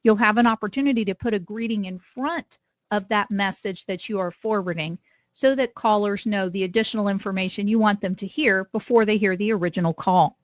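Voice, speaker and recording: A woman narrating instructional training, explaining clearly step by step. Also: medium pitch, fairly quick, no room sound, dull audio. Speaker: software trainer.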